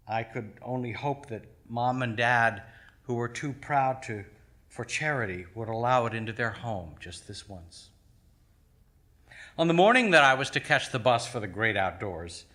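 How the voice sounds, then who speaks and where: A man reading his own short story aloud on a small theater stage, measured, his voice dropping to a hush at the ends of lines. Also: medium pitch. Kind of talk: live literary reading.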